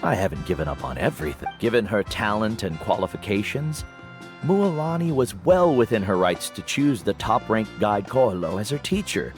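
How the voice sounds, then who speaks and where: Man as a deep, wise veteran guide. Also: lively intonation, soft background music, lightly acted. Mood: calm, reflective. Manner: measured, philosophical. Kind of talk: English video game voice acting.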